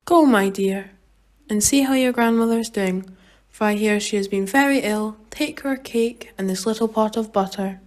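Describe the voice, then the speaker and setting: A woman voicing a caring mother who gently gives her daughter instructions. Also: medium pitch, normal speed, natural voice.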